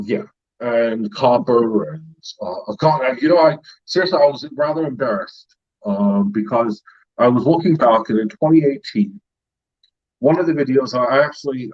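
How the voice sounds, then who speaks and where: Middle-aged American host, husky voice, muffled stream audio, rambling chat. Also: male voice, mid-range voice, normal speed. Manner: rambling, with many fillers and laughs. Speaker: YouTube channel host; retired paramedic. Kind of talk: casual live-stream chat.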